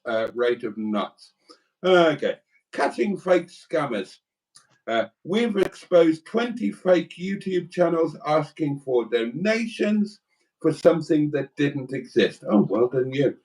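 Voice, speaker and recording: A man's voice: medium pitch, lively intonation, measured pace, recorded from a distance, rough recording. Welsh-born guy reading out stream comments, rambly, sits back from the mic. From Wales. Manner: chatty and rambling, with asides to viewers. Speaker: co-host of a live stream. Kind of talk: casual live-stream chat, reading viewer comments.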